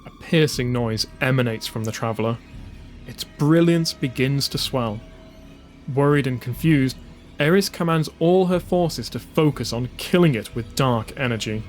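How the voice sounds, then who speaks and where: A man's voice: mid-range voice, normal speed. A man giving a dramatic scripted narration as an audiobook narrator. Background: music under the voice.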